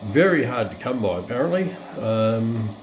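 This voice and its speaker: An Australian man giving a casual, unscripted walkthrough as a hobbyist presenter, hesitant and pausing often. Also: medium pitch, very animated, a little hiss or hum. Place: indoors.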